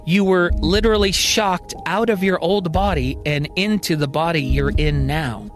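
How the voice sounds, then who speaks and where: A man voicing a calm, careful woman who gently explains things in a science-fiction audio serial. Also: a little high, moderate pace, music in the background, lightly acted. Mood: careful, serious. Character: calm, careful woman team member breaking hard news.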